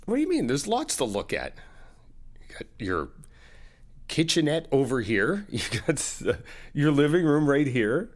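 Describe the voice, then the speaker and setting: A young man in an ASMR comfort roleplay, talking to the listener as a reassuring friend in a chatty way with soft laughs. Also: fairly high pitch, wildly swooping pitch, measured pace, long pauses.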